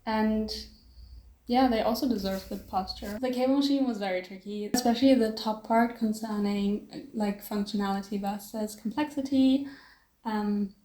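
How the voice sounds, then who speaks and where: A woman's voice: medium pitch, moderate pace, dark tone, reverberant, rough recording. A young woman with a German accent, a non-native English speaker, casually talking over her art process with a chatty, self-deprecating manner and little laughs. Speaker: artist vlogger.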